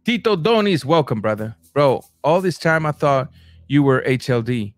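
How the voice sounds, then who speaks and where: A man from Cuba, hosting a casual boxing livestream and reading viewer comments, animated, stumbling over his words and jumping up in pitch. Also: normal speed, no echo. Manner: animated, repeats words and restarts, rising to high bursts.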